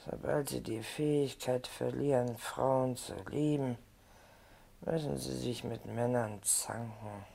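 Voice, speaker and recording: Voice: medium pitch, fairly even intonation, takes it slowly, creaky, dark tone. An actor in a radio drama playing a jaded, irritable lady of the house with a languid, disdainful manner. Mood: bored, scornful.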